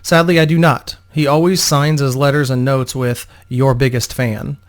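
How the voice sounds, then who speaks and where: A man's voice: mid-range voice, flat delivery, normal speed, close to an everyday voice. Young woman singer acted by a man, polite, anxious, grateful, storybook dialogue. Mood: worried, grateful.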